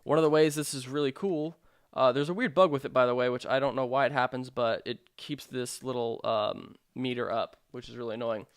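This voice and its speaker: A man narrating a software tutorial in an informal, explanatory way with hesitant phrasing. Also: medium pitch, brisk, smooth, no room sound, studio quality. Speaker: sound design tutorial presenter.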